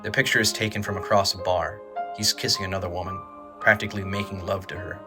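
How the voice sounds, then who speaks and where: A man's voice: low-pitched, fairly even intonation, quick pace, music in the background. A man reading scripted dramatic narration for an audio drama.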